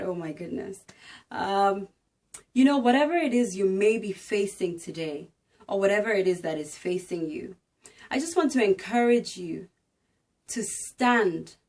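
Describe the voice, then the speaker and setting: Slow, earnest woman giving a devotional. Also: medium pitch, darkish tone. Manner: earnest, emphatic, exhorting. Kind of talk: devotional talk and dramatic scripture narration.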